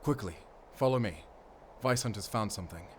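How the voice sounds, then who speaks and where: An actor playing a stern, secretive werewolf hunter with a German accent, giving terse, grave orders in a cinematic audio drama. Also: male voice, on the low side, moderate pace, slight background noise, close to an everyday voice. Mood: grave, hushed, wary.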